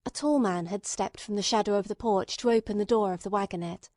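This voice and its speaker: A woman's voice: medium pitch, brisk, a little mellow, no echo, clean studio sound. A woman reading scripted literary narration as an audiobook narrator.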